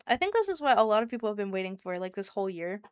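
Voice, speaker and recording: A woman streamer chatting casually with her viewers, with a soft, soothing voice and a chatty, giggly manner. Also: mid-range voice, very animated, rapid-fire, clear, resonant tone, dry recording, studio quality. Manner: chatty and giggly, talks to chat by name.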